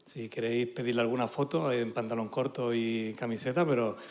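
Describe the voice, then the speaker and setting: A man's voice: mid-range voice, steady tone, fairly quick, a little mellow. Joking man interviewing at church.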